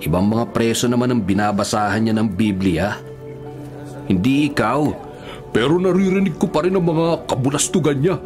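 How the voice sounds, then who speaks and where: Actor as menacing Filipino prison thug, music behind. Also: male voice, medium pitch, normal speed, lightly acted. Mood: irritated, scornful. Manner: menacing, scornful. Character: surly, hot-tempered male prison thug. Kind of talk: Filipino radio drama acting.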